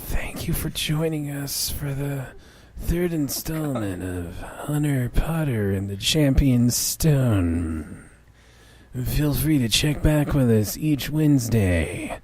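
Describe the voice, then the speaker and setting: A man voicing a solemn, wise griffin with slow, drawn-out, breathy speech in a dramatic reading. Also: mid-range voice, animated, a little mellow, no echo. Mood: slow, ceremonious. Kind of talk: dramatic fan fiction reading.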